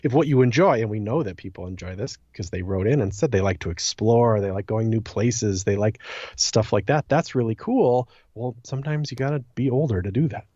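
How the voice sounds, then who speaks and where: Man, slightly deep, conversational gaming podcast co-host who repeats himself for emphasis; dry, somewhat dull sound. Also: brisk, warm tone, no room sound. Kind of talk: casual chat about an online game.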